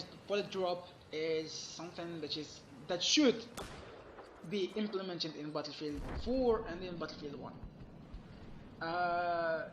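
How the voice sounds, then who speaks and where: A man giving an emphatic, complaining gaming commentary while losing his voice, sounding hoarse. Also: sits high, a bit bright, roomy sound, a little hiss or hum, poor audio. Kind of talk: solo gaming rant.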